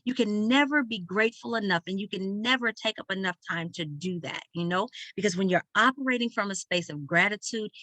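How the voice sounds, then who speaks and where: A woman's voice: medium pitch, brisk, hardly any pauses, fairly bright tone, dry recording, poor audio. A woman hosting a podcast, speaking earnestly and emphatically in flowing, repeated phrases. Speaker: podcast host. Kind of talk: upbeat podcast hosting, speaking of gratitude and introducing a guest.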